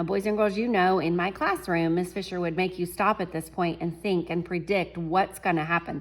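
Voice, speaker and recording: A woman teacher reading a picture book aloud to children, animated and chuckling, pausing to talk to her class. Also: mid-range voice. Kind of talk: children's read-aloud storytime.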